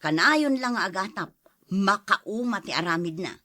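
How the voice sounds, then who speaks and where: A woman's voice: medium pitch, animated, a little slow, bright, forceful tone, dry recording. A woman playing a long-suffering, exasperated wife and mother in a radio drama, with a complaining, weary manner. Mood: weary, resigned.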